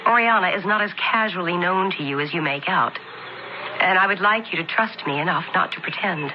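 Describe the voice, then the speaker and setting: A woman's voice: fairly low pitch, a little fast, natural voice. An actress playing a proud, vindictive young noblewoman of ancient times in a radio drama, speaking formally and commandingly. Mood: pointed. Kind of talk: old-time radio drama acting. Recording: dull, noisy old radio broadcast.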